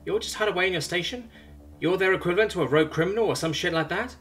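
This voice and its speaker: A man voicing a defiant, sarcastic young woman held captive, raising his pitch and speaking quickly. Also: very animated, pushed and bright, faint music behind. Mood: cocky.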